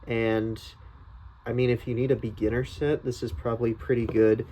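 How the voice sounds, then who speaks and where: A man's voice: medium pitch, little pitch movement, moderate pace, warm tone, a little hiss or hum. A man giving a casual, unscripted product review, thinking aloud in a hesitant, tentative way.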